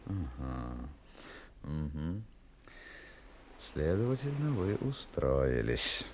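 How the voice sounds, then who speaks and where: An actor playing a courteous, calculating, gruff sea captain in an audio drama, speaking formally with weighty, deliberate pauses. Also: male voice, very deep voice, darkish tone, slight background noise, exaggerated voice.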